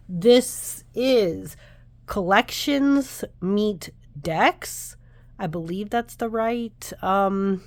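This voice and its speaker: A woman's voice: medium pitch, no room sound. A woman in her thirties, slightly nasal while recovering from the flu, chatting to camera in a rambling, self-interrupting way as a tarot vlogger. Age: 30s. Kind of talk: casual chat to camera.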